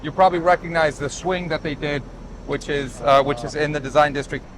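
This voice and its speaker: A man from Chile with a voice a little on the high side talks like a developer showing off a property. He is emphatic and casual, doubling back on his words. Clear city and vehicle noise surrounds him. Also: steady tone, a little fast. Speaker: property developer giving a tour. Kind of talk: casual walk-through sales pitch. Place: walking outdoors on a city street and at a building site. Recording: outdoor street noise with traffic.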